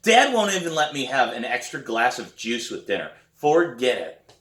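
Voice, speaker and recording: A man's voice: mid-range voice, sing-song, normal speed, fairly bright tone, pitched above the performer's own voice. A man voicing an eager, exasperated preteen kid in a children's book, pleading and defensive, with a whiny manner. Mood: pleading, insistent. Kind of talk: acted dialogue in a children's book reading. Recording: roomy echo.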